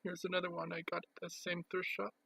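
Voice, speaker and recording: High-pitched, monotone young-sounding male voice, gravelly and husky. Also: dry recording. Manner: halting, piecemeal delivery with pauses. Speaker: record collector presenting his collection. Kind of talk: casual show-and-tell narration.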